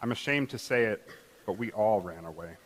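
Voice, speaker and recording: A man playing a remorseful disciple in a church dramatic reading, telling his story earnestly in measured phrases. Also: lowish voice, lively intonation. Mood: ashamed. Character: remorseful male disciple recalling his master's arrest. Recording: reverberant church hall.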